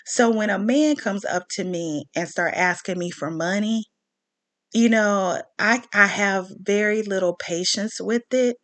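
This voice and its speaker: A woman's voice: medium pitch, a little slow, fairly bright tone, no room sound. A woman with a Southern American accent in a casual personal rant, speaking emphatically and repeating phrases for stress. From southern United States. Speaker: online commentary host.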